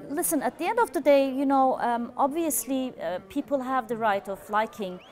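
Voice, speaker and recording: A woman's voice: high-pitched, moderate pace, a little mellow. A woman giving political opinion on a live news panel, speaking hesitantly with many fillers. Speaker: opinion editor, panel guest. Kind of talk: live studio panel analysis.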